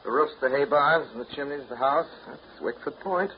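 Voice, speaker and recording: A man's voice: a little high, a little fast, natural voice. An actor playing a moody, sentimental man in a 1930s radio drama, speaking in a clipped, understated, wistful way. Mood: wistful, earnest. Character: Jim, moody, sentimental man bound to his old family home. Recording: muffled, hissy 1939 radio broadcast.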